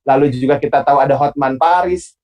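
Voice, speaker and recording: A man with a Sundanese accent hosting an online public speaking class, relaxed and pausing often with fillers. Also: medium pitch. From Bandung, Indonesia. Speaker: host and moderator.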